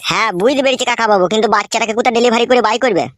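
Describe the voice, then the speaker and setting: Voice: medium pitch, racing, a bit bright, dry recording, studio quality. A performer voicing a deferential, naive young village man in a comedy cartoon. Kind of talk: Bengali comedy cartoon dubbing.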